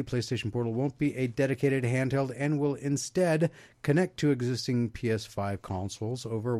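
A man's voice: medium pitch, darkish tone, no echo. A man hosting a radio show in a studio, chatting casually and reading news items aloud with frequent restarts.

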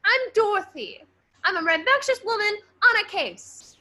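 Rambunctious lady detective, played by a woman: very high, bright voice, eager and insistent. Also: very animated, moderate pace, exaggerated voice. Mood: dramatic, urgent. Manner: eager, insistent, over-earnest. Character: rambunctious earnest woman amateur detective obsessed with kicking. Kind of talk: improvised radio-play parody.

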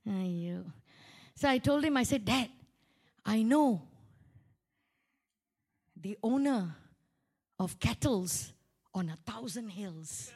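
A woman with a Malaysian accent preaching through a humorous, animated personal story, colloquial and full of local particles, acting out dialogue as she goes. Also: mid-range voice, very slow, long pauses, husky. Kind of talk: storytelling sermon with acted-out dialogue.

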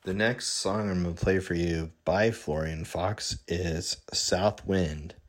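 A man hosting a music show, introducing songs in a slow, unhurried way. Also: low voice, fairly even intonation. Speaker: radio show host.

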